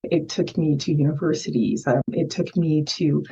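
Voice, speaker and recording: Brief: Haitian-born woman guest, low husky voice, emphatic, recorded far off with a dull sound. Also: fairly even intonation, normal speed, dark tone. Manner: emphatic, tags lines with "right?". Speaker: guest consultant. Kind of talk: casual interview talk. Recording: muffled distant mic.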